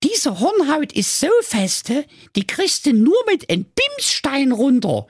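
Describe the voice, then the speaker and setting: A man voicing a rude, bratty young princess in a snappy, cheeky falsetto. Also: huge pitch swings, takes it slowly, no room sound, studio quality, exaggerated voice. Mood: complaining.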